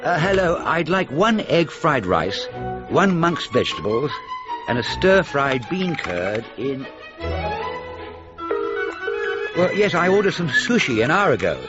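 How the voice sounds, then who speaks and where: Wry ageing writer, wildly swooping intonation. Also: male voice, fairly high pitch, big pitch swings, moderate pace, lo-fi sound, over loud music. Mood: impatient. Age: middle-aged. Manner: wry, hesitant, dry. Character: self-deprecating, bumbling ageing male writer, wry and grumbling. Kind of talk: naturalistic radio comedy-drama acting.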